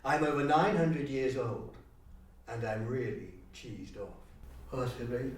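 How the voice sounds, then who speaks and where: A man's voice: mid-range voice, unhurried. Echoey, distant man at an audition. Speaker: auditioning amateur actor. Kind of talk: audition monologue and interview chat. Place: university room, audition being filmed. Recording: echoey room, distant mic, faint noise.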